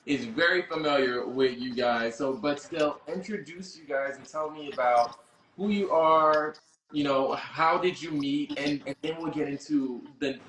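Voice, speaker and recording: Male co-host, fairly high voice, laughs easily, echoey room sound. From Miami. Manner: laughing, animated, drawn-out emphasis. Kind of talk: casual chat show hosting.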